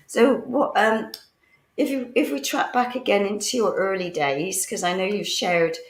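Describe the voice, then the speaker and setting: A woman with a husky voice after a cough co-hosts a radio interview, asking chatty, rambling questions. Her pitch sits on the low side. Her voice echoes as if she is in a bare room. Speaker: co-host. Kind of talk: casual interview hosting.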